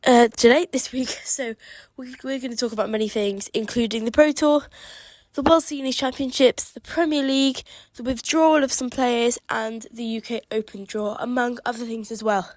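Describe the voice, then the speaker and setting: Brief: woman, podcast host, stuffy with a cold, fairly high voice, dry close sound, chatty and keen. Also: fairly even intonation, moderate pace, no room sound, low-quality recording. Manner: chatty, enthusiastic darts fan. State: congested from a cold. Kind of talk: solo podcast recap. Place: outdoors.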